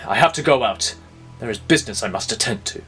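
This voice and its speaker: A man's voice: medium pitch, animated, quick pace, sharp tone, slightly rough, soft background music, pitched below the performer's own voice, full character voice. Man acting a cold, domineering businessman father. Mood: irritated, domineering. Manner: curt, domineering, scheming. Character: stern, ambitious middle-aged businessman father, cold and bitter. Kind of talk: audio drama acting.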